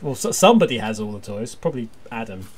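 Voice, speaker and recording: A man hosting a casual fan podcast, rambling excitably and jokily through stories and listener feedback. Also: on the low side, quick pace. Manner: excitable, jokey, meandering storytelling.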